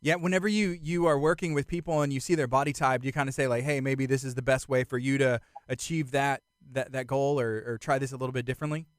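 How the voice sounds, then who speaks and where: A man's voice: medium pitch, steady tone, fast talker, few pauses, clear, resonant tone, dry recording. Casual male interviewer, dry sound. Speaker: interviewer, host.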